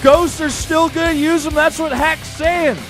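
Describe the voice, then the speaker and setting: High-voiced excitable male esports caster. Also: a little slow. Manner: excitable, rises sharply during big fights. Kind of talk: play-by-play commentary. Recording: commentary over loud game music.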